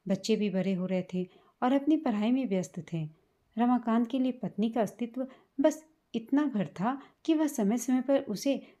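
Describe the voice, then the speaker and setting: A woman reading a scripted, sentimental family story as a storyteller, in a measured manner. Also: mid-range voice, dark tone, muffled recording. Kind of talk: scripted emotional story narration in Hindi.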